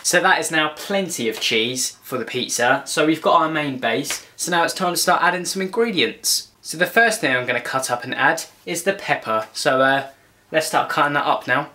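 A man's voice: on the high side, lively intonation, moderate pace, bright, forceful tone. A young man casually narrating a home cooking vlog in a playful, cheeky way. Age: young adult. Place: small home kitchen, indoors. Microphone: camera a short distance away. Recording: clear background noise, home camera microphone.

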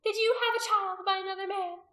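A woman's voice: very high-pitched, normal speed, sharp tone, echoey room, voice pushed up, exaggerated voice. A woman narrator playing a cruel, commanding pagan priestess in an audiobook story, accusing and imperious.

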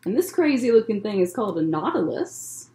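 A young American woman, a children's librarian, reading a picture book aloud to children with expressive, deliberate phrasing and short asides. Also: medium pitch, a little hiss or hum. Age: young adult. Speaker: children's librarian hosting story time. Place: indoor library room lined with bookshelves. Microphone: webcam at desk distance.

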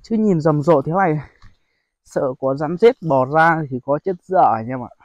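Young man, fairly high voice, casual outdoor vlogger, dry close phone audio. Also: animated, moderate pace, a little mellow, no echo, muffled recording. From Vietnam. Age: young adult. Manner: chatty, addresses viewers as brothers, frequent exclamations. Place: outdoors among rice paddies and hills. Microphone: phone held at arm's length.

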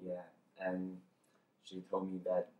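Slow low-voiced man. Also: monotone, narrow, tinny audio. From China. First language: Chinese. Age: young adult. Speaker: PhD student. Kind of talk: casual self-introduction. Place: conference room. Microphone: distant camera mic. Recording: roomy, distant mic.

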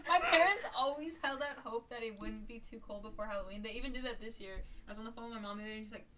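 A young woman co-hosts a casual podcast. Her voice sits a little high and she talks quickly, in an informal, conversational way. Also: pushed and bright, slight background noise. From Saskatchewan, Canada. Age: young adult. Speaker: podcast co-host.

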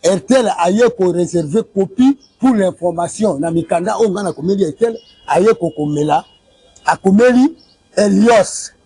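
Insistent husky man recounting a complaint. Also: sits high, normal speed, dark tone. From DR Congo. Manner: insistent, repeats dates and figures, waves documents. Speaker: interviewee with a family dispute. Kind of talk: street interview, recounting a complaint. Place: outdoor courtyard by a building. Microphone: lapel mic.

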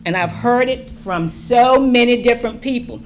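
A woman's voice: on the high side, moderate pace, slight background noise. A middle-aged woman speaking at a school board public forum, earnest and pleading, stressing words as she goes. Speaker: public commenter. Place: large school meeting room. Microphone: standing mic at a distance.

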